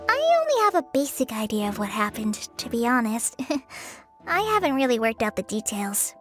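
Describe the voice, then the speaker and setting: High, unsure young girl. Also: big pitch swings, moderate pace, music in the background. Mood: sheepish. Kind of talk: video game cutscene acting.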